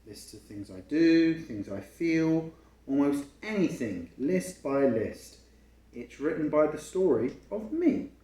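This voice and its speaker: A confident, helpful big sister from a storybook, read by a man. The expressive voice moves widely in pitch and echoes around the room. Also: takes it slowly. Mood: proud, approving. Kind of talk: expressive picture-book reading. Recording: roomy, echoing home recording.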